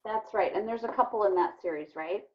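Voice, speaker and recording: A woman's voice: medium pitch, a little fast. A woman teacher leading an online class discussion with children in an encouraging, affirming manner. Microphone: video call. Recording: dull, lo-fi video call sound.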